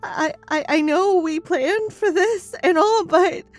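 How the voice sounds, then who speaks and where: An actress playing an emotional, pregnant young wife in an audio sci-fi drama, with halting delivery. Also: female voice, a little high, lively intonation, a bit bright, faint music behind. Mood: scared, tearful. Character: frightened, heavily pregnant young wife in an alien invasion. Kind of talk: audio story dramatic acting.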